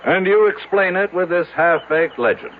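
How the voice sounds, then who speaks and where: A man's voice: high voice, moderate pace, natural voice. An actor playing a brusque, skeptical city businessman in a 1970s radio drama, formal and insistent. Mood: indignant. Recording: tinny, noisy 1970s radio broadcast.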